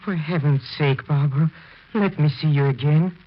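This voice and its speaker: A man's voice: on the high side, moderate pace, close to an everyday voice. An actor playing a grief-stricken, obsessed widower pleading melodramatically for his dead wife in a 1940s radio horror drama. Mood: desperate pleading. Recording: muffled, noisy old radio broadcast.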